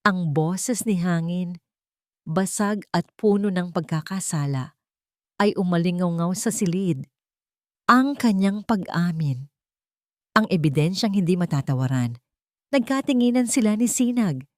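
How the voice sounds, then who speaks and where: Low-voiced woman telling a dramatic story. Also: expressive, a little slow, long pauses, dry recording, clean studio sound. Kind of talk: scripted dramatic story narration.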